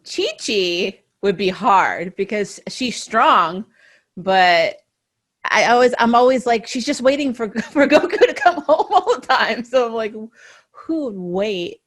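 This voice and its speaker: A woman's voice: medium pitch, fairly bright tone. A woman hosting a casual, enthusiastic chat about comics and anime, often breaking into laughter. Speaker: podcast host and interviewer.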